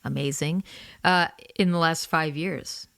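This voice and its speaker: A woman in her forties, chatty and animated as she hosts an easygoing interview. Her voice sits a touch low for a woman, and the recording is close and dry, with no room sound around her. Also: a little slow, creaky, dry recording. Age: 40s. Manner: chatty, animated, swears casually. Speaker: podcast host and singer. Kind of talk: casual interview chat.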